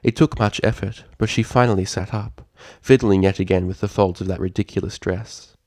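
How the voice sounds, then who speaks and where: A man reading a story aloud as narrator, with a dramatic and expressive delivery. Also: on the low side, fairly quick, dry recording, studio quality. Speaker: fan fiction narrator.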